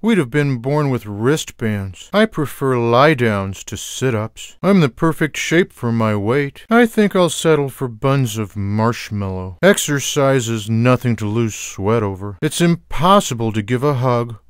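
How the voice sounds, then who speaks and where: Man voicing a slow, deadpan, lazy cartoon cat. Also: mid-range voice, clean tone, dry recording, studio quality, lightly acted. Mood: deadpan, smug. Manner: deadpan, wry one-liners. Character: lazy, sarcastic, gluttonous fat male cartoon cat. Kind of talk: video game character voice clips.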